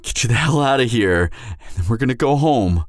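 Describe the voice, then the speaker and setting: A man's voice: mid-range voice, animated, fairly quick, no room sound, clean studio sound, close to an everyday voice. A man playing a devoted hunter boyfriend in an emotional audio roleplay, urgent and stammering, repeating himself as he pleads with his wounded lover. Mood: tender, firm.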